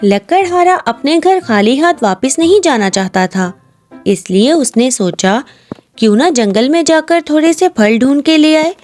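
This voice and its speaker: A woman's voice: high voice, lively intonation, a little slow, darkish tone. A woman reading scripted storybook narration as the narrator, with music behind her.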